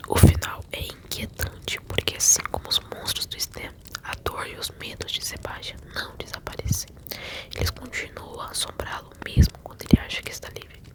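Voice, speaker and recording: A Brazilian woman telling a story in a soft, intimate, soothing ASMR whisper. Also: moderate pace. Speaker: ASMR video creator telling game stories.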